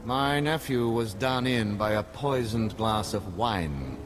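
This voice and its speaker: A man's voice: on the low side, lively intonation, takes it slowly, music in the background. An actor playing a haughty older nobleman in a video game, formal and full of disdain. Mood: cold disdain. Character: haughty, scornful older nobleman, uncle of feuding family.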